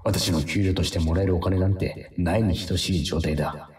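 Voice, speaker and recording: A man's voice: low-pitched, steady tone, normal speed, darkish tone, roomy sound, distant mic, natural voice. An actor playing a middle-aged factory boss narrating his hard early years in a reflective radio drama.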